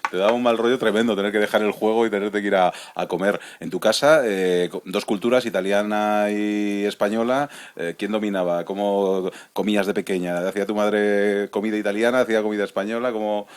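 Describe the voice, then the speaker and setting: A man's voice: fairly low pitch, fairly even intonation, brisk, few pauses, clear, resonant tone. A man in his forties with a Spain Spanish accent, hosting a radio interview and asking chatty, leading questions. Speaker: radio host.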